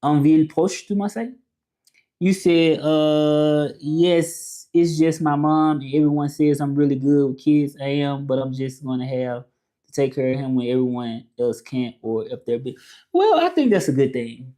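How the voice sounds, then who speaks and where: A man whose first language is English, hosting a casual livestream and reading viewer comments, talking haltingly with many fillers and restarts. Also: on the high side, dark tone. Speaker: livestream host.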